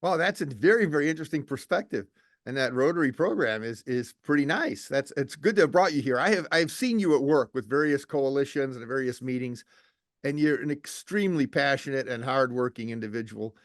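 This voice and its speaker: A man hosting an interview show, reading a measured, formal introduction and asking questions. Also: medium pitch, expressive, dry recording, clean studio sound. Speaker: podcast host.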